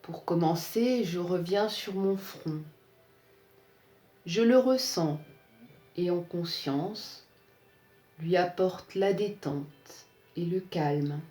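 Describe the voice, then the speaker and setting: Female meditation guide, soft-edged mellow tone, calm measured phrasing, recorded with noticeable room echo. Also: on the low side, faint music behind. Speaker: yoga nidra instructor. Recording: roomy, echoing sound.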